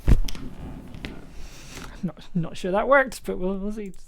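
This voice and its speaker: A woman's voice: mid-range voice, slow pace, a bit bright, a little hiss or hum. A woman chatting casually and playfully on a livestream, thinking aloud as she edits audio. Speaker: streamer and sound designer. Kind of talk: casual livestream commentary while editing audio.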